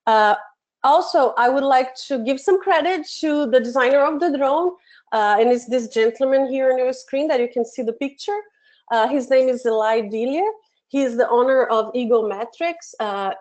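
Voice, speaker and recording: A woman from Brazil with a Brazilian Portuguese accent, hosting a live software webinar from her home office and talking in a friendly way with many fillers and restarts. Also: a little high. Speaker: webinar host, education program manager. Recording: dull lo-fi webinar audio.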